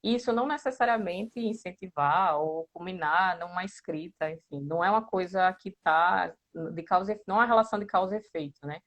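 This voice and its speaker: Woman, Brazilian accent, slightly low pitch, casual interview answers, explanatory, conversational. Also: a little fast, few pauses. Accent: Brazilian Portuguese. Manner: conversational, explanatory, with frequent tag questions. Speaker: interviewed member of a writing group.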